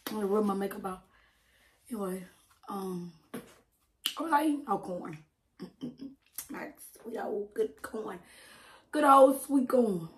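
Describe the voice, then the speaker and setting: A woman chatting casually while eating on camera, with preachy asides, frequent laughs and a slightly hoarse voice, recorded on a close mic at home. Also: mid-range voice, animated, a little slow, pauses often, reverberant, slight background noise, poor audio. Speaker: mukbang creator. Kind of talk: casual eating-show chat.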